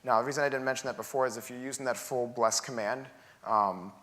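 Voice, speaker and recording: A man presenting at a conference, working through technical answers in a steady, methodical way. His voice sits at an ordinary middle pitch and comes through a stage microphone in a large theater. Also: fast.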